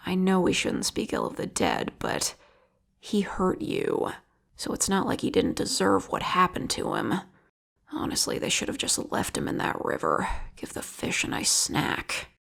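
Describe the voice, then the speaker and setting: A woman playing an obsessive, lovesick female vigilante killer in an intimate audio roleplay, with a whispery, gravelly voice and a slow, taunting manner. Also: on the low side, exaggerated voice. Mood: tender, possessive.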